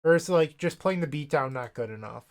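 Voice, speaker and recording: A man's voice: fairly high pitch, lively intonation, brisk. A young man with a slight cold, casually commenting on his own card game play, thinking aloud with wry asides, recorded at a home desk setup. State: sniffly from a cold. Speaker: gaming content creator.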